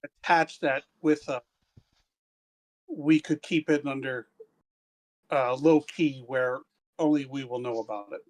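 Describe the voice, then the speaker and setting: A man playing a tabletop game, speaking in a slow, hesitant way. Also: mid-range voice, poor audio. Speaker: player.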